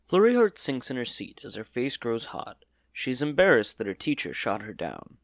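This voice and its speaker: A man's voice: medium pitch, very animated, moderate pace, dry recording, studio quality. A man narrating a scripted story in a dramatic storybook style.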